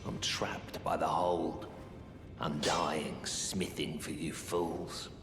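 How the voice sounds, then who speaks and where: An actor playing a gruff, weary prisoner blacksmith in a video game, gravelly, terse and resigned. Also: male voice, extremely low pitch, level tone, music in the background, heavily acted. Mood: weary, blunt. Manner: slow, terse, fatalistic. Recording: cavernous echo with long reverb.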